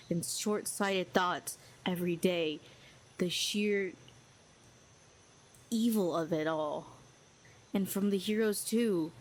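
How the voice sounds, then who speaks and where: A woman's voice: mid-range voice, a little hiss or hum. A woman playing a proud, lonely telepathic villain who talks in a sardonic, cool and needling way. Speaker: audio roleplay performer. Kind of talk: scripted audio roleplay.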